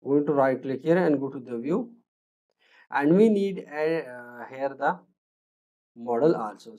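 Man with a halting coding-tutorial delivery. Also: medium pitch, darkish tone, muffled recording. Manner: halting, many fillers and restarts, thinking aloud. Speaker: programming tutor. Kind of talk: screen-recorded coding tutorial.

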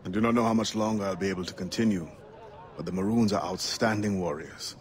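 Actor as a low-voiced, dignified Caribbean assassin. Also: male voice, lightly acted. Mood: guarded. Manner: formal, measured, composed. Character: steadfast, dignified former-slave pirate turned assassin. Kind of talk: dramatic video game acting.

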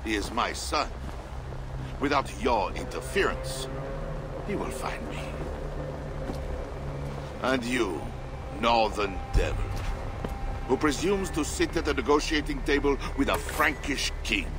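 A haughty Frankish king in a dramatic game cutscene. He speaks slowly and pompously, with big swoops of pitch, aggrieved and threatening, over music. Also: lively intonation. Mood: bitter menace. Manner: pompous, theatrical, drawn-out.

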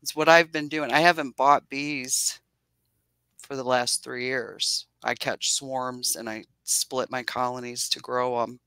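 A woman's voice: a bass voice, steady tone, unhurried, fairly bright tone, smooth, no room sound, poor audio. A woman in her forties with a soothing voice, chatting casually about her hobby over a video call and laughing often. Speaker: beekeeper guest. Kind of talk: casual livestream chat. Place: home office.

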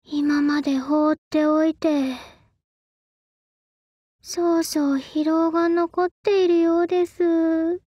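Very high, gentle sword-spirit maiden. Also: female voice, level tone, takes it slowly, dry recording, clean studio sound. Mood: wistful, uneasy. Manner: soft-spoken politeness, formal speech. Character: gentle, polite young sword-spirit maiden, patient and devoted. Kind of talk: Japanese mobile game character voice lines.

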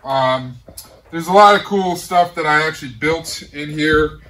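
A middle-aged man casually showing off his garage gym, talking in a relaxed, rambling way with many pauses. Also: a little high, distant mic, rough recording. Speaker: home gym owner giving a tour. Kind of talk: casual walk-through tour. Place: large garage home gym.

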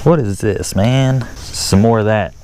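A man's voice: lowish voice, a little slow. A man vlogging casually as he fishes from a kayak, exclaiming in slangy bursts, recorded outdoors on a camera he wears. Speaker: fishing vlogger. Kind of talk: casual excited chat while fishing. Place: outdoors on a kayak on an open lake. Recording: loud outdoor boat and water noise. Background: water and boat noise.

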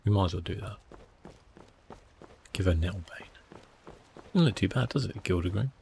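A man giving relaxed ASMR gameplay commentary, thinking aloud with hesitant phrasing. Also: low-pitched, moderate pace, creaky, a little hiss or hum. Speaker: gaming video host. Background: soft game music.

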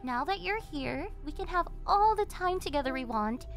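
Very high-pitched, sugary yandere girlfriend. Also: expressive, moderate pace. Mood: chatty, probing. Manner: sugary, teasing, possessive, theatrical. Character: obsessive yandere young woman, cheerful cafe server turned kidnapper. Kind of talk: yandere ASMR roleplay acting, anime-style. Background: music.

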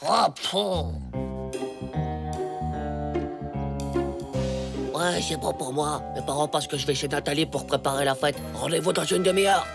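A performer voicing an excitable ten-year-old schoolboy in a French cartoon, with a scratchy voice and flustered, slangy, exclamatory delivery. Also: mid-range voice, fairly quick, vocal fry, loud music behind the voice, close to an everyday voice. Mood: scheming. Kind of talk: French animated cartoon acting.

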